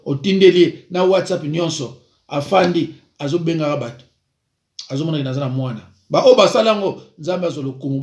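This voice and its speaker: A man, a pastor, giving an informal preaching talk, moving between calm advice and lively storytelling. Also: high voice, echoey room.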